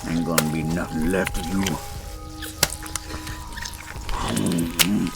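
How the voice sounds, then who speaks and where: A man voicing a villainous werewolf with a Cajun accent in a horror audio roleplay, sadistic and gloating, savoring his prey with drawn-out murmurs. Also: low-pitched, steady tone, vocal fry, loud music behind the voice. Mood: menacing, mocking.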